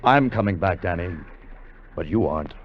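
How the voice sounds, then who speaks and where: A calm, shrewd seafarer in a radio adventure. His voice is on the low side, firm and measured with cool menace. The old recording is muffled and noisy. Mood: firm, threatening. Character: calm, shrewd, unyielding seafaring partner turned accuser. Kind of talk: 1950 radio drama acting.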